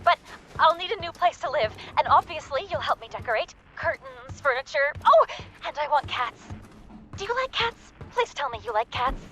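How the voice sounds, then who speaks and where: An actress voicing a bubbly, impatient young female sidekick in a video game, playful and excitable. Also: sits high, normal speed. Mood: excited.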